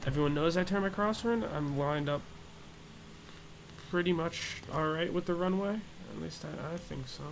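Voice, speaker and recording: A man's voice: on the high side, normal speed, vocal fry, a little hiss or hum, low-quality recording. A man giving a casual flight simulator tutorial, explaining each step in an offhand, hesitant way. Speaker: gaming tutorial host.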